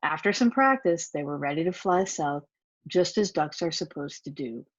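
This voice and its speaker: Female storybook reader, somewhat husky and lowish, chatting to young listeners between lines. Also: animated, moderate pace, no echo, lo-fi sound. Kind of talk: children's picture book read-aloud with asides to listeners.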